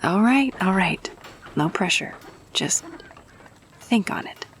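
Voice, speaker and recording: A woman's voice: mid-range voice, lively intonation, takes it slowly, a little hiss or hum. A woman playing a friendly, grateful rogue-for-hire in a fantasy audio roleplay, talking casually and chummily to the listener. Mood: friendly, persuasive.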